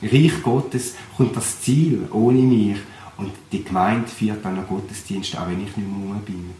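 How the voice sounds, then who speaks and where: A young man from Switzerland, a pastor with a Thurgau Swiss German accent, giving an earnest, reflective personal testimony at a measured pace. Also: lowish voice, dark tone. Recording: roomy recording with faint background noise.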